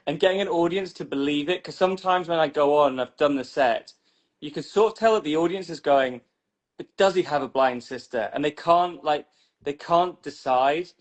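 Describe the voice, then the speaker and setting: Brief: British male comic, slightly high voice, rambling and self-deprecating on a video call. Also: moderate pace. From Newcastle, England. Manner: rambling, many "like" fillers, self-mocking. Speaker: stand-up comedian. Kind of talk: casual chat about his act.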